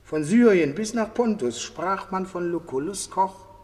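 An actor playing a proud, grateful army cook among the dead, earnest and declamatory, in a radio drama. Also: male voice, high voice, lively intonation, measured pace, a little mellow. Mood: earnest pride. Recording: long hollow reverb.

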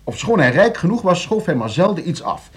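A man's voice: on the high side, big pitch swings, brisk. A man playing an idle young student under suspicion in a Dutch radio play, hesitant, defensive and self-justifying. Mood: sheepish.

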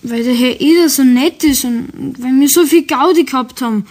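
A woman's voice: high voice, normal speed, a little mellow, lightly acted. A woman playing an eager, stubborn schoolboy with a Bavarian accent in a German children's radio play. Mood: reluctant, downcast. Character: cheerful, eager schoolboy Steffi, playful and stubborn.

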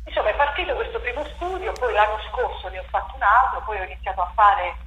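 A woman's voice: mid-range voice, quick pace, pushed and bright. A woman theatre director talks through her work in an interview, reflective and searching for words. Her mid-range voice sounds roomy and echoey, with a faint hiss underneath. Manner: reflective, many fillers and restarts. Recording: echoey room with faint noise.

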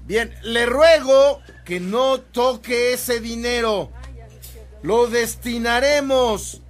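Solemn, slow-speaking Mexican bishop. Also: male voice, very high-pitched, soft background music, heavily acted. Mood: polite, insistent. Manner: solemn, formal, measured. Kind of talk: radionovela acting.

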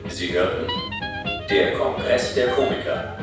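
A man reading the closing credits of a radio play in a slow, measured way. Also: lowish voice, huge pitch swings. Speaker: announcer. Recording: roomy, distant, loud guitar music.

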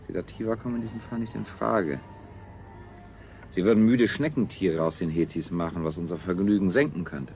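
An actor playing a cold, controlling scientist-ruler in a radio play, speaking in a clinical, formal, measured way. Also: male voice, deep voice, a little mellow, faint music behind. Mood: clinical, detached. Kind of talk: 1970s German radio play acting.

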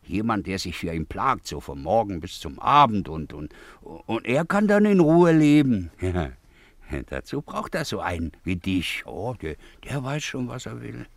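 An actor playing a needling, falsely soothing gossip in a 1950s German radio play, talking colloquially with repeated words and interjections. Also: male voice, fairly low pitch, sing-song, unhurried, vocal fry, dry recording, full character voice. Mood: needling, mocking.

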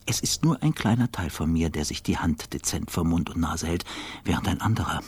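An actor playing an anxious, self-accusing traveller in a radio drama, speaking an intimate, brooding inner monologue. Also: male voice, sits low, lively intonation, brisk, husky, dry recording, heavily acted. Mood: resentful, wry. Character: anxious, self-accusing male business traveller, inwardly narrating.

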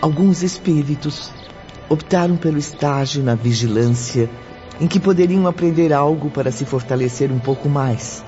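A man's voice: medium pitch, warm tone. A man delivering scripted narration for a radio drama. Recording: muffled audio under music.

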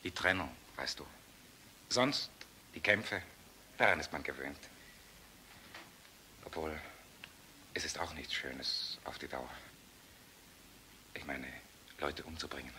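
A tired, earnest fighter pilot in a radio drama. His voice is deep yet very bright and tense, subdued and tender, with faint noise behind it. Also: slow, pauses often, full character voice. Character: weary, gentle, earnest young fighter pilot husband. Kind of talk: intimate radio play acting.

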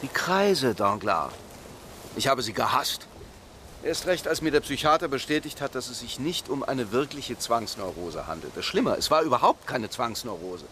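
An actor as an intent, animated male detective, noisy background. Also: medium pitch, moderate pace, lightly acted. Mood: explanatory, brooding. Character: brooding, intuitive, quietly certain male police detective. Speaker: actor in a crime radio play. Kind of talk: naturalistic radio drama dialogue.

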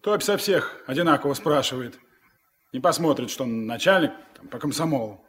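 An actor playing a cynical, coarse deckhand who mocks in colloquial speech, in a 1970s Soviet radio drama. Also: male voice, mid-range voice, expressive, moderate pace, a bit bright, natural voice. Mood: sneering. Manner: mocking, colloquial.

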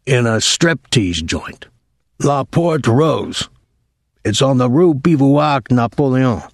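A man's voice: mid-range voice, animated, slow, no room sound, voice pushed up. Man acting drunk male sailor, thick slurred delivery, insistent, defensive rambling, dry audiobook recording. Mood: slurred, matter-of-fact.

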